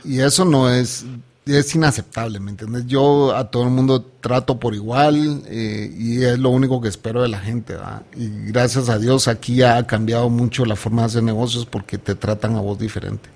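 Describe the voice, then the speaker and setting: Middle-aged Guatemalan man, profane and animated co-host. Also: medium pitch, moderate pace. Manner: animated, swears freely, mixes in English. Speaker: podcast co-host. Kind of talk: casual chat.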